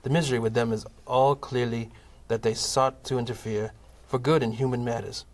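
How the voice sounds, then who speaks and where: A man narrating a scripted comic audio play in a measured, formal storytelling manner. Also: medium pitch, fairly even intonation.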